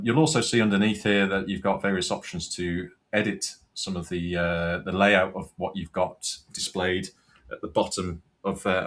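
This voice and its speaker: A man's voice: sits low, fairly bright tone. A man giving an informal, unscripted product tutorial, explaining things step by step. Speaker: product demonstrator.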